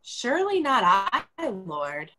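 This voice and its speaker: A woman's voice: fairly high pitch, wildly swooping pitch, slow, bright, forceful tone. A woman giving a dramatic reading of scripture as a church reader. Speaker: church lay or clergy reader.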